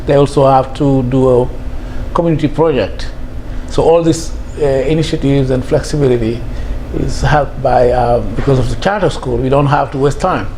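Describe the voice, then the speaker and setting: A middle-aged man from Senegal, a school head, answering interview questions in a measured, hesitant way. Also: medium pitch, dark tone, slight background noise, poor audio. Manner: measured, thoughtful, with frequent hesitations.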